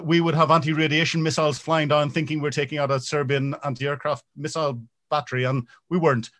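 A man, a military veteran, answering interview questions in a halting way, with many ums and repeated starts, growing animated as he goes. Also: fairly high pitch.

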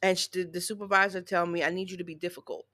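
A soft-spoken, easygoing woman co-hosting a casual chat podcast, laughing at herself. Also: lowish voice, steady tone, fast. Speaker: podcast co-host.

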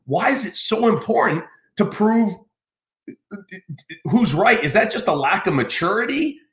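A man's voice: sits high, sing-song, unhurried, a bit bright, roomy sound. A middle-aged American man hosting a podcast, talking earnestly in a casual interview with many restarts. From United States. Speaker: podcast host.

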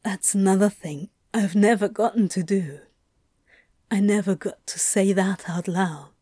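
Woman doing a shaky teary angel guy, stammers and restarts, slow and kinda high, dry close mic. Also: expressive, a little mellow, no room sound. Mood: shaky tender. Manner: halting, stammering, repeats words. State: near tears. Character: soft male angel, anxious and guilt-ridden. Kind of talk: audiobook fan fiction reading.

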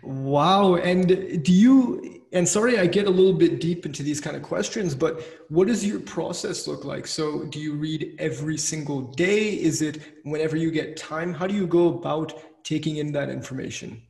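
A man's voice: a little high, normal speed, vocal fry, hardly any pauses, a little mellow. A young man hosting an interview, asking curious, enthusiastic questions in a conversational way. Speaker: interview host. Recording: roomy, far from the mic.

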